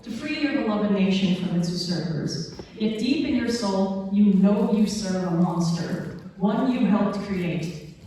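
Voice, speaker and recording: A woman's voice: mid-range voice, lively intonation, measured pace, mellow, natural voice. A woman playing a grave, stern emissary delivering an ultimatum in a dramatic script reading, recorded in an echoey room. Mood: solemn, menacing. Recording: very echoey hall, far mic, faint noise.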